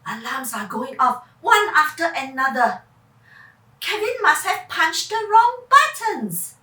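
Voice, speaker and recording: High, very animated woman storyteller in echoey room. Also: takes it slowly. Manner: theatrical, puts questions to child listeners, vocal sound effects. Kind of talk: dramatic children's story reading. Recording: echoey room, far from mic.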